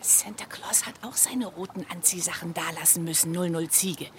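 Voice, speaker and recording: A woman's voice: lowish voice, moderate pace, background noise, lightly acted. A woman playing a chatty, resolute girl adventurer in a children's radio play, eager and coaxing.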